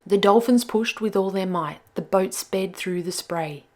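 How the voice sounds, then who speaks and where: A woman's voice: mid-range voice, unhurried, warm tone. A woman storyteller reading a rhyming children's picture book aloud with expression.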